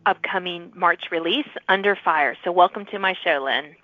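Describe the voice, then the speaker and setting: A woman's voice: lowish voice, normal speed, dry recording. A woman hosting a podcast, conversationally interviewing authors about their books. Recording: muffled narrow-band radio-like call audio.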